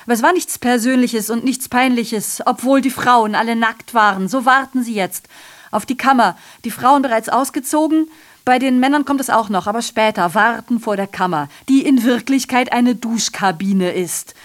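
A woman's voice: on the high side, normal speed, lightly acted. An actress playing a bitterly ironic, evasive woman messenger reporting horrors in a theatrical, sardonic radio drama monologue.

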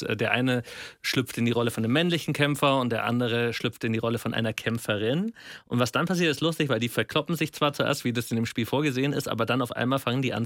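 A man's voice: medium pitch, a bit bright, no room sound. A man co-hosting a casual radio talk in a studio, speaking colloquially and telling things like anecdotes. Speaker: radio show co-host.

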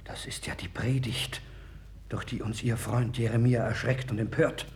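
A man's voice: medium pitch, steady tone, normal speed, a little mellow, in a whisper, close to an everyday voice. An actor playing a troubled, wavering king of ancient Judah in a formal 1960s radio play style. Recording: worn vinyl record with clear noise.

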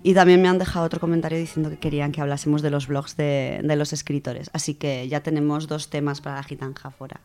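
A woman's voice: low voice, level tone, fast, hardly any pauses, music in the background. A woman with an accent from Spain presenting a literary radio segment in a conversational, enthusiastic, semi-scripted way.

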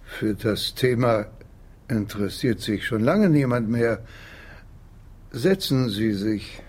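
An actor playing a wary, bitter archaeology professor in a radio drama, husky, measured and formal. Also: male voice, mid-range voice, animated, darkish tone. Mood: guarded, sceptical.

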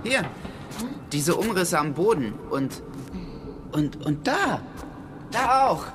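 A man's voice: on the high side, sing-song, slow pace, music in the background. A man acting an exasperated, skeptical character in a radio drama, with a wry, questioning manner. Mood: skeptical, pondering. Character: exasperated, skeptical man lost with two women.

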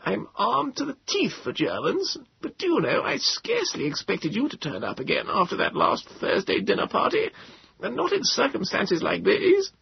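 A man's voice: sits high, wildly swooping pitch, moderate pace, gravelly, husky, voice pushed up, heavily acted. A man voicing a frail old man in his late seventies, with a thin, feeble voice, in a storybook reading. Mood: surprised. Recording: dull, dry lo-fi sound.

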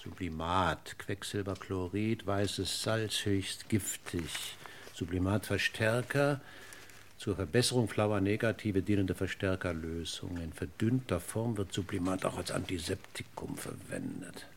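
Low, creaky police inspector. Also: male voice, expressive, full character voice. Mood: musing, searching. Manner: polite, deliberate, formal questioning. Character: courteous, methodical, probing male police inspector. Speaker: actor in a radio crime play. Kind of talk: German radio crime drama acting. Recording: noisy old radio play recording.

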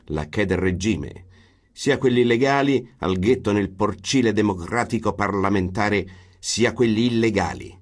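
An actor in a radio stage reading, voicing a militant who reads out an armed group's manifesto in a stern, declamatory way. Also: male voice, on the low side.

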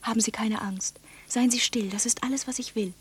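Obsessed, commanding woman for literary radio play; breathy, husky, incantatory on a restrained, level pitch. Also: steady tone, fairly quick. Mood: urgent, pleading. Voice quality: husky, breathy, often near-whisper. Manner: solemn, incantatory, insistent. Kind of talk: literary radio drama monologue and dialogue.

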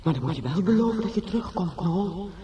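An actress playing a devout, doting spiritualist aunt in a Dutch radio drama, consoling with earnest, tender fervour. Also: female voice, fairly low pitch, animated, fast talker, close to an everyday voice. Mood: tender, coaxing. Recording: noisy old radio recording.

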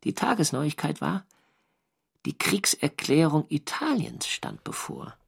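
A First World War sailor telling his diary in a radio play. His voice sits a little high for a man. He speaks in a measured, clearly articulated way, with a dry, wry storyteller's tone. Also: wildly swooping pitch, heavily acted. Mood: dry recounting. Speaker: narrator and actor in audio drama. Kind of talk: literary diary narration, radio drama.

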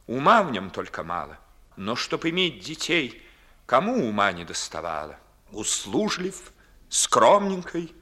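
An actor playing a proud, witty young gentleman in a 1950s classical radio play, declaiming verse with sardonic emphasis. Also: medium pitch, heavily acted. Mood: bitter musing.

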